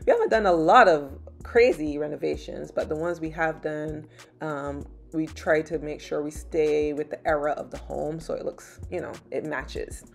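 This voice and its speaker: A woman in her thirties from Barbados talks through her vlog in a low, easygoing voice, pausing often with "um." Soft background music sits faintly behind her narration. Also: vocal fry. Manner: relaxed and chatty, many "um" fillers. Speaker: lifestyle vlogger. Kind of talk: casual vlog voice-over.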